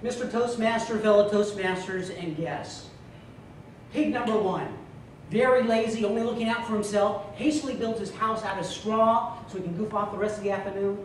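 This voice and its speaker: A man giving a humorous storytelling speech to a live club audience, theatrical, with drawn-out punchlines. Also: high voice, fairly even intonation. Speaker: contest speaker telling a humorous tale. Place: meeting room before an audience. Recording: echoey room from far off, loud noise.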